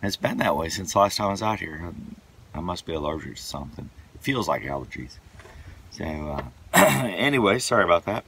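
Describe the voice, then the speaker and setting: Hoarse man, very deep voice, rambling with fillers, noisy outdoor recording. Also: expressive, fairly quick, bright, forceful tone. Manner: rambling, lots of fillers and restarts. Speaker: vlogger. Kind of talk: casual outdoor vlog narration. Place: outdoors on wooded property.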